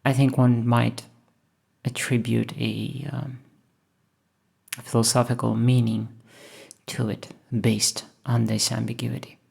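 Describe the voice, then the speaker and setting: A woman from Russia, thoughtfully talking over her painting process in a hesitant, musing way with many pauses. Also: very deep voice, flat delivery, vocal fry, darkish tone, breathy edge. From Moscow, Russia. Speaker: painter and channel host. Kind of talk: reflective voice-over while painting.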